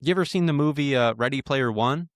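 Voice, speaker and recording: A man's voice: medium pitch, fairly quick, dry recording, clean studio sound. A man in his twenties hosting a podcast, talking casually and hesitantly, often restarting his sentences. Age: 20s. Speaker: podcast host.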